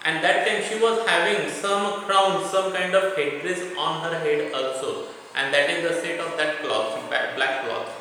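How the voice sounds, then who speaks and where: A man's voice: fairly high pitch, sharp tone. A man with an Indian accent teaching English literature as a lecturer, explaining a text and its word meanings in short, repeated, didactic phrases. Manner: didactic, repetitive, pausing between short phrases. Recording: very echoey hall, distant mic, faint noise.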